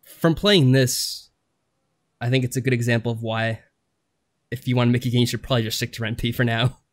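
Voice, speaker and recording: A man's voice: medium pitch, steady tone, a little fast. Mild, hesitant man chatting on a podcast. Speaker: podcast co-host. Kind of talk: casual podcast chat. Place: remote voice call.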